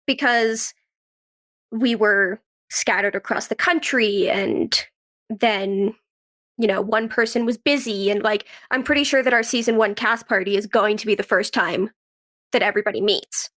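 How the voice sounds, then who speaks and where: A woman's voice: mid-range voice, normal speed, a bit bright. A woman speaking informally and reflectively as an interview guest, explaining things through anecdotes. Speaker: podcast guest, writer and actress. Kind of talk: casual interview conversation.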